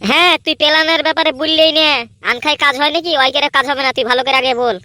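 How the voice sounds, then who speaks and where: Woman voicing a cocky, high-pitched little cartoon boy. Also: fairly even intonation, racing, sharp tone, no room sound. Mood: insistent. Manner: brash, needling. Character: cocky, taunting small boy spoiling for fights. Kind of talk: amateur comedy cartoon dub.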